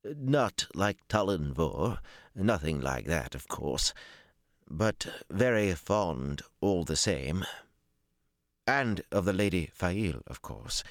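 A man's voice: low voice, sing-song, takes it slowly, no room sound, clean studio sound, heavily acted. A man voicing a stout, older, anxious male servant in an audiobook, deferential, stiff and hesitant in his speech. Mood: stiffly dignified. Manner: stiff, hesitant, flustered, stammering. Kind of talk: audiobook character dialogue.

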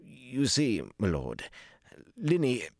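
A man's voice: deep voice, lively intonation, slow pace, no echo, clean studio sound, full character voice. A man voicing a stout, older, anxious male servant in an audiobook, deferential, stiff and hesitant in his speech. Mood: hesitant, embarrassed. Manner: stiff, hesitant, flustered, stammering. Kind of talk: audiobook character dialogue.

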